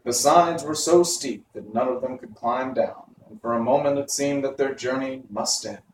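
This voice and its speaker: A man's voice: medium pitch, moderate pace. A man reading a children's novel aloud as narrator. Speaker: story reader. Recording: roomy, slightly distant, faint background noise.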